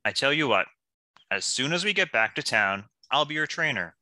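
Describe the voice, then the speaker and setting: Animated fantasy hero, male actor: encouraging warrior, very crisp forceful edge, dry sound, reassuring delivery. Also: medium pitch, normal speed, no room sound. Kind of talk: animated fantasy table read acting.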